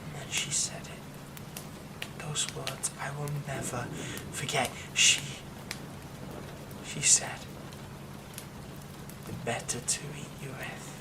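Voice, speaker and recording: A man voicing a haunted young man hiding a werewolf curse, speaking in a hushed, halting way that often drops to a whisper. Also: medium pitch, flat delivery, background noise. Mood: nervous, haunted. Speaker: ASMR roleplay performer. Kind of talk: ASMR horror roleplay.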